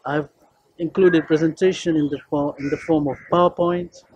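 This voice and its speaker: A man's voice: on the high side, steady tone, moderate pace, a little mellow, breathy edge, dull audio. A young man with a Kenyan accent, a friendly Swahili teacher introducing his course to camera on an outdoor rooftop, talking loosely with many fillers.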